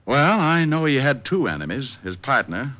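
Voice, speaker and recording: An actor playing a suave, wisecracking male private detective in a 1950s radio drama, dry and quick. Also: mid-range voice, very animated, natural voice. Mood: wry. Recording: dull old radio broadcast.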